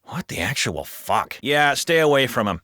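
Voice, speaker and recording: An actor playing a jaded, foul-mouthed, sardonic police officer in a video game. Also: male voice, mid-range voice, sing-song, moderate pace, pushed and bright, no room sound, clean studio sound. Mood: wry, gossipy. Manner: gossipy, sardonic, swearing. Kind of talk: scripted video game voice acting.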